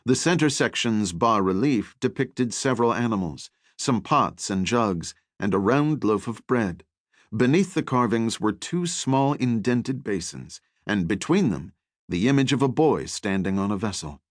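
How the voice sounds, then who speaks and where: A man narrating adventure fiction as an audiobook, with a measured, deliberate and dramatic delivery. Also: fairly low pitch, lively intonation, no echo, clean studio sound.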